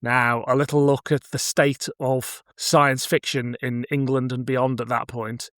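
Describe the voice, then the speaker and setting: A man's voice: mid-range voice, little pitch movement, measured pace, fairly bright tone, no echo. Man hosting a podcast, dry close sound. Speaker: podcast host. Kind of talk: casual review podcast chat with some scripted introduction.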